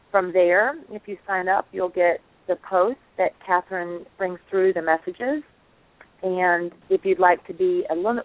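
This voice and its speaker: A woman co-hosting a spiritual talk show, speaking enthusiastically and effusively. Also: fairly low pitch. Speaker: co-host. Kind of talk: casual chat on a call-in show. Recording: tinny phone line.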